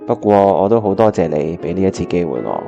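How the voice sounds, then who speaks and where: A man's voice: low voice, big pitch swings, a little fast, gravelly, warm tone, dull audio, soft background music, heavily acted. A man with a Hong Kong Cantonese accent playing a disheartened, weary young man in a radio drama, with a sighing, downcast delivery. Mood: dejected.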